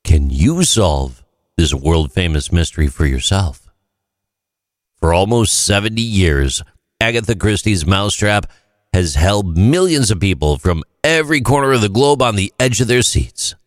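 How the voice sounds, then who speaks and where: A man hosting a short story program, reading scripted narration in a measured, dramatic storytelling manner. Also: low voice, lively intonation, no echo, clean studio sound.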